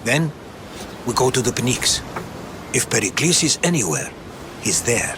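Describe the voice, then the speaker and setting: An actor playing a learned, cautious Greek historian in a video game, speaking in a measured, articulate way. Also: male voice, mid-range voice, big pitch swings, very noisy. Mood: assured. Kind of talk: dramatic video game acting.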